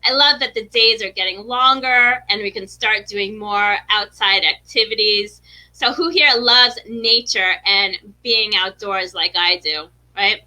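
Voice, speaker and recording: A woman's voice: medium pitch, measured pace, bright, forceful tone, low-quality recording. A woman with a New York accent, hosting a live stream and teaching viewers about gemstones in a chatty way, often asking "right?". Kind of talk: live-streamed educational talk.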